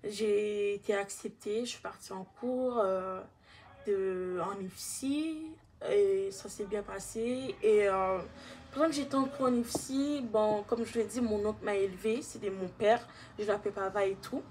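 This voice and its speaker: A young woman from Haiti with a Guadeloupean accent, giving a halting personal testimony in casual speech. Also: mid-range voice, darkish tone. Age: young adult.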